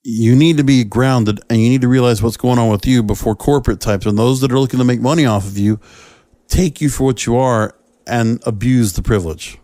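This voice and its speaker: Male solo podcast host, medium register, rambling and halting commentary delivery, dry recording. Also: mid-range voice, dark tone, no echo.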